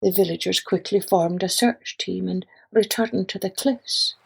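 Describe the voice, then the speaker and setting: A woman narrating a children's folk tale in a deliberate, expressive storyteller's manner. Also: lowish voice, vocal fry, slightly rough, poor audio. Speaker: storyteller, podcast host.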